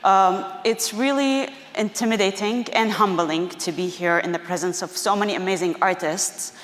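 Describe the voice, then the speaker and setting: A woman's voice: mid-range voice, moderate pace. A woman from Syria with a Syrian Arabic accent, a theater director and filmmaker telling personal stories in a chatty way, with many fillers. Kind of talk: personal storytelling talk. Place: museum auditorium. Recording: roomy echo of a large hall.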